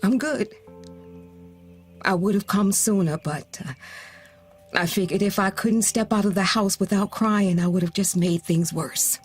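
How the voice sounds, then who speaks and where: An actress playing a gentle, grieving middle-aged mother who offers consolation in a naturalistic audio drama. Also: medium pitch, husky, faint music behind. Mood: hesitant, apologetic. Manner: slow, gentle, earnest delivery with pauses. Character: grieving, consoling mother who lost her teenage daughter.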